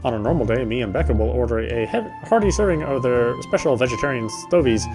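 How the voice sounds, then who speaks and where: Man reading game text, soft music behind. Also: mid-range voice, animated, fast talker. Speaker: game streamer reading aloud. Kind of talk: reading visual novel text aloud with casual asides.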